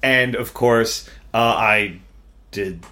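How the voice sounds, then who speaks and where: A man's voice: lowish voice, very slow, bright, forceful tone. A man hosting a casual podcast conversation, talking in a wry, rambling, self-deprecating way. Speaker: podcast host.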